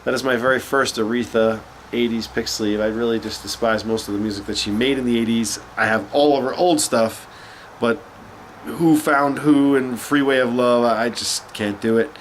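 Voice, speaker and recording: A man's voice: mid-range voice, moderate pace, slight background noise, rough recording. Stuffy-nosed man chatting casually. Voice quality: slightly nasal. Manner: relaxed, chatty, with frequent fillers. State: congested with a cold, sniffling. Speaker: record collector vlogger. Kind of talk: casual show-and-tell chat.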